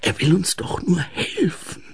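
Storybook young boy, done by a man: mild, conciliatory, trusting, animated intonation. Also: high voice, a little slow, voice pushed up, heavily acted. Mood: hushed reproach.

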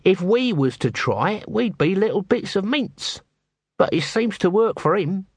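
A man's voice: sits high, expressive, unhurried, slightly rough, no room sound, pitched above the performer's own voice, full character voice. A man voicing a shifty, cowardly watchman who talks in a casual, uneducated, matter-of-fact way. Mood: bemused.

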